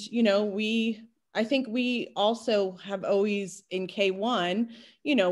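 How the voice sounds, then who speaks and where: A woman in her forties, a kindergarten teacher, telling a candid story on a video call from home. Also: mid-range voice. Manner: candid, storytelling, quick asides. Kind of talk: casual video call panel. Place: home room at night. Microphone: laptop webcam on video call.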